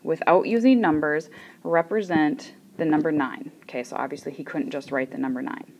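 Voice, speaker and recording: A woman with a low voice tells a joke in a chatty, self-deprecating way. She drops to near-whispers while she draws. Faint noise sits in the background. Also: normal speed, a little mellow, lo-fi sound. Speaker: joke teller. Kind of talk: casual storytelling of a joke.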